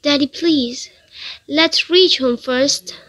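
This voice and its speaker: A compassionate young daughter in a radio play, acted by a woman. She has a high voice and takes her words very slowly. Her manner is polite and earnest. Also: pushed and bright, full character voice. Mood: concerned. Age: young adult. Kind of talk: amateur student radio drama acting.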